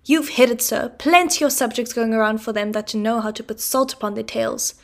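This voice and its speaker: A woman's voice: on the high side, brisk, vocal fry. A woman doing a blustering pompous uncle. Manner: blustering, self-important. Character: pompous loud middle-aged male uncle.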